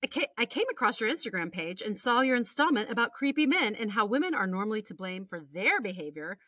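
Animated, irreverent American woman with bright voice. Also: mid-range voice, fast, dry recording, studio quality. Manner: irreverent, sweary, chatty asides. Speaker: podcast co-host. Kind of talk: casual chat and animated reading of listener stories.

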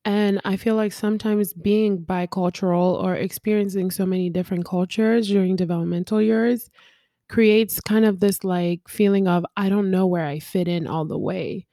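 A woman's voice: medium pitch, steady tone, moderate pace, dark tone, no echo. Reflective young woman, dry close sound. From Congo and South Africa. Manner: reflective, talks in long flowing thoughts. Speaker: podcast co-host. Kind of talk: casual conversation.

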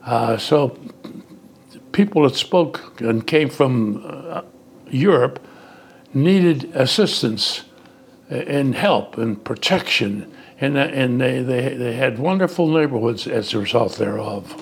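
A man in his nineties, a native Italian speaker, recalls his childhood in a halting, measured way while being interviewed at home. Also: mid-range voice, expressive, gravelly, breathy edge. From Youngstown, Ohio. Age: 90s. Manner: halting, searching for words, measured. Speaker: interview guest.

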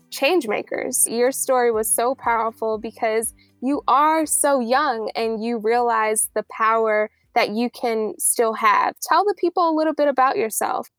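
Higher-pitched woman hosting a podcast, dry sound. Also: fairly bright tone, no room sound. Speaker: podcast host. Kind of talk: scripted introduction and interview.

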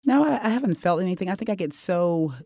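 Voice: mid-range voice, lively intonation, fast talker, vocal fry, a little mellow, no echo, clean studio sound. A superintendent speaking earnestly and with focus in a panel discussion. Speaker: school superintendent.

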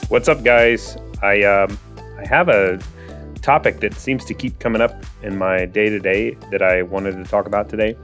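A man's voice: on the low side, normal speed, soft background music. A man in his thirties hosting a finance podcast, talking casually with frequent fillers and restarts. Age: 30s. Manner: relaxed, lots of fillers and restarts. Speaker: podcast host. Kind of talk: casual solo podcast talk.

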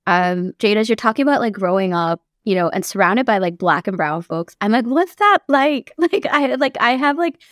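Animated woman asking questions. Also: medium pitch, moderate pace, hardly any pauses, no room sound, clean studio sound. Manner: animated, acts out quoted reactions. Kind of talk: casual group conversation.

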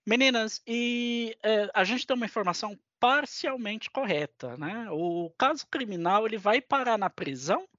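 A woman's voice: on the high side, animated, normal speed, few pauses, a bit bright, no echo. A woman with a Brazilian Portuguese accent casually moderating as a podcast host. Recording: dull lo-fi, dry close sound.

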